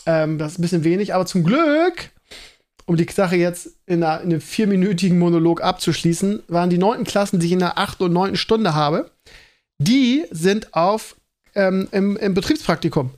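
A man's voice: sits high, expressive, normal speed, darkish tone. A man, hosting a casual solo podcast in a rambling, colloquial way and often interrupting himself. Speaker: podcast host; school teacher.

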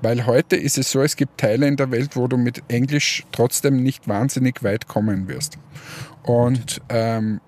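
A man co-hosting a casual podcast chat, talking in a relaxed, joking and speculative way. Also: mid-range voice, a little slow. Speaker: podcast co-host.

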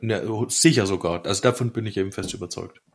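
Male podcast co-host, slightly low voice, slangy, emphatic, enthusiastic, casual music talk. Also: fast.

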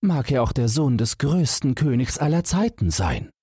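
A man's voice: medium pitch, very animated, moderate pace, warm tone, slightly rough, no echo, studio quality, pitched above the performer's own voice. A man voicing a haughty, commanding young noblewoman possessed by evil spirits in a fairy tale, with aloof, courtly phrasing. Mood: scornful, reproachful.

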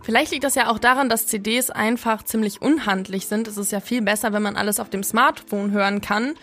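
A woman's voice: medium pitch, steady tone, brisk, a bit bright, faint music behind. A young woman talking casually as the co-host of a podcast. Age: young adult. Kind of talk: casual conversational podcast talk.

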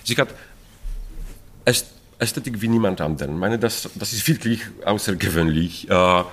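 A man's voice: fairly low pitch, moderate pace, a bit bright. A middle-aged man from Poland with a Polish accent, a Polish native speaker, talking in an interview about an opera production, searching for words with many fillers and restarts and laughing often. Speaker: opera stage director.

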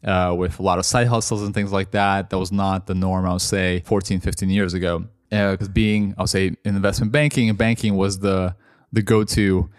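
A man in his thirties who grew up in Canada, a guest telling his story in a relaxed way and laughing often. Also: low voice, brisk, no room sound, clean studio sound. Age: 30s. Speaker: guest, entrepreneur. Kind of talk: casual interview. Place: London.

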